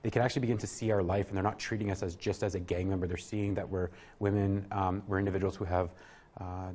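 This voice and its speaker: A man's voice: deep voice, flat delivery, fast, a little mellow. A man answering interview questions earnestly, often restarting his sentences. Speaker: guest, program worker.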